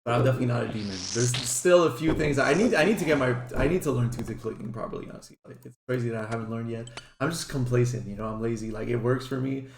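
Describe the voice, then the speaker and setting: A man whose first language is French gives casual gameplay guide commentary as a streamer, chatting informally. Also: medium pitch. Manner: informal, chatty, occasional swearing. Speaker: gaming streamer. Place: indoors at a computer. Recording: roomy echo, faint background noise.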